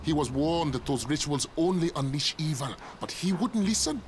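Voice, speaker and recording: A man's voice: a little high, animated, moderate pace, slight background noise, close to an everyday voice. An actor playing a grave, protective middle-aged village father in a video game, blunt, distrustful and ominous. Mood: grave warning. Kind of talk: video game cutscene acting.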